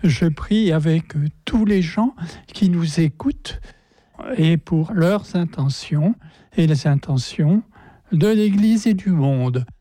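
Older man with a husky, dark voice. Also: on the high side, takes it slowly, dry recording. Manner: hesitant, modest. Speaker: prayer group member.

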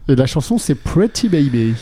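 A man's voice: on the high side, sing-song, measured pace, dark tone, no echo. A man hosting a casual music radio show, teasing his co-hosts playfully.

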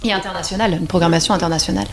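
Hesitant woman in her forties, low voice. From Brittany, France. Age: 40s. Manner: hesitant, many pauses and restarts. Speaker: singer, interview guest. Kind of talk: casual interview conversation.

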